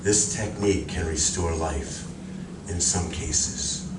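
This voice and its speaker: Distant, deep-voiced solemn alien visitor played by a man. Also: steady tone. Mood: subdued, explaining. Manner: measured, grave, formal. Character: calm, solemn male visitor from another planet. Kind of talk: live stage radio drama acting. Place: library community room, live performance. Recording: roomy distant recording with clear noise.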